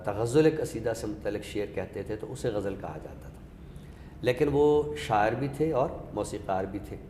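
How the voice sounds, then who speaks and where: Echoey Pakistani man, scholarly and deliberate. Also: lowish voice, expressive, mellow. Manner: scholarly, deliberate, explains by question and example. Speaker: literary expert on a talk show. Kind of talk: explanatory literary discussion. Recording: echoey hall reverb with background noise.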